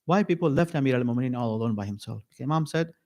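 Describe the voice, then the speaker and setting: A man giving a mourning sermon at an Islamic center, slow and emphatic, repeating key phrases with pauses. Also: mid-range voice, animated, a little mellow.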